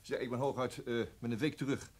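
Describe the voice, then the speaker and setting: A man playing a hesitant, reassuring police detective in a Dutch radio play, with halting delivery. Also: medium pitch, level tone, heavy background noise, close to an everyday voice.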